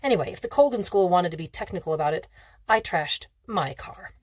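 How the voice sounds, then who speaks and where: A woman's voice: on the low side, lively intonation, brisk. A woman reading the part of a smug, teasing sixteen-year-old rich boy in a storybook, breezy and self-assured.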